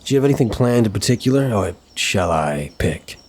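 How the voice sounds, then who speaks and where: A man playing an apologetic, flirtatious mafia boss in an intimate ASMR roleplay, speaking in a courteous, teasing, slightly halting way. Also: lowish voice, lively intonation, a little mellow, a little hiss or hum, natural voice. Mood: flirtatious, relaxed.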